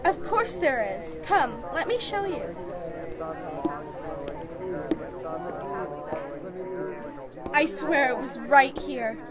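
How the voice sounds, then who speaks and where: High-voiced young bride played by an actress, over music. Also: female voice, sing-song, a little slow, over a noisy crowd. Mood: anxious, insistent. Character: excitable young newlywed bride, increasingly anxious. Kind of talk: radio horror play acting. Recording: music under the voice.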